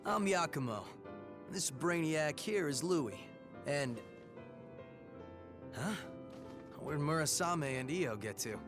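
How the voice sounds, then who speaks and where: A man's voice: fairly high pitch, expressive, slow pace, pauses often, music in the background. An actor playing a friendly, easygoing young male fighter in a video game, with a casual, teasing way of talking. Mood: upbeat, joking. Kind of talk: English video game dub acting.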